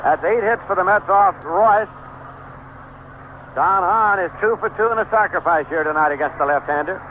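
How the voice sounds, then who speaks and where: A man calling baseball play-by-play on the radio in measured, descriptive short phrases. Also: sits high. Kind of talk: live radio sports commentary. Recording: noisy, tinny vintage 1970s AM radio broadcast.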